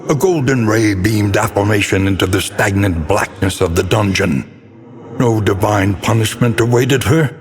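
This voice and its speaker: An actor playing a grave, brooding older narrator of gothic horror tales in a video game, with solemn, theatrical and deliberate phrasing. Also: male voice, on the low side, lightly acted. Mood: solemn, foreboding. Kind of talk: dramatic scripted storytelling narration. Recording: long reverb, clear background noise.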